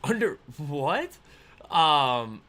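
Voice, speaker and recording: A man's voice: fairly high pitch, very animated, drawn-out words, pushed and bright. A man in his twenties hosting a casual trading livestream, rambling and laughing as he reads viewer comments aloud. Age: 20s.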